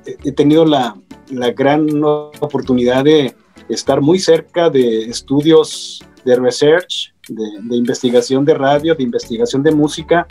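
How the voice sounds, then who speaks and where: A man's voice: medium pitch, warm tone. A man who works as a radio broadcaster, speaking hesitantly with many fillers and repeated words during a casual panel chat.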